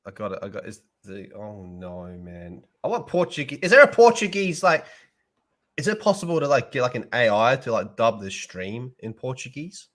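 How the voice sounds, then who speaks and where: A man's voice: mid-range voice, big pitch swings, normal speed, a bit bright. A man co-hosting a podcast in casual chat, jokey and blunt with his opinions. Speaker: podcast co-host. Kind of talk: casual chat reacting to anime dubs.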